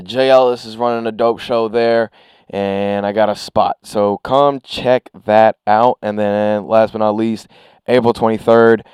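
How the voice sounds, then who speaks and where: A man's voice: fairly low pitch, darkish tone, clear, resonant tone, no room sound. A man, a comedian and podcast co-host who teases his partner playfully, close to a microphone. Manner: teasing, playful, quick to mock. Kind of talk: casual comedy podcast banter.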